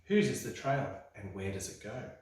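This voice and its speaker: A man's voice: lowish voice, big pitch swings, moderate pace, a little mellow, voice pushed down. A man voicing a curious, brave young female monster child in a children's storybook read-aloud, eager and exclaiming. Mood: excited. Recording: echoey room, distant mic.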